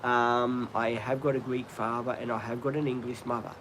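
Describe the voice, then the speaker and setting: Australian man, middle-aged, rambling live-stream host, outdoors with steady background noise. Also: mid-range voice, moderate pace, poor audio. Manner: chatty, rambling, frequent ums and restarts. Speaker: livestream host. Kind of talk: casual live-stream chat. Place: outdoors, birds in trees nearby.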